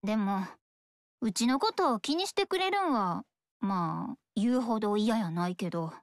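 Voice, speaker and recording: An actress voicing a blunt, carefree young shrine maiden who talks with a Kansai accent. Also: female voice, mid-range voice, animated, moderate pace, no room sound, studio quality, natural voice. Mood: grudging. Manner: blunt, teasing, dismissive. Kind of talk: game voice acting.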